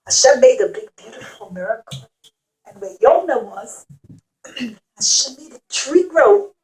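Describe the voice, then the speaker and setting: A woman's voice: on the high side, very animated, unhurried, darkish tone, poor audio. A woman telling a puppet-show story to young children, explaining simply and repeating phrases with dramatic emphasis.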